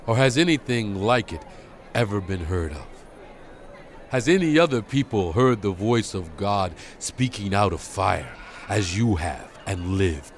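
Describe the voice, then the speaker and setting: A man playing a solemn, stern prophet and leader exhorting his people in a measured, dramatized scripture reading. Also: fairly low pitch, lively intonation, slight background noise. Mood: solemn, awed. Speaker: Bible narrator.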